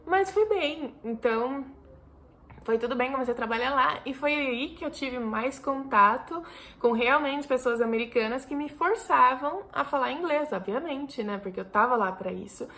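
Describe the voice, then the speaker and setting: A woman's voice: sits high, normal speed, bright, forceful tone. A woman in her twenties from Brazil, chatting casually to camera in a rambling way, full of restarts and fillers. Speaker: vlogger.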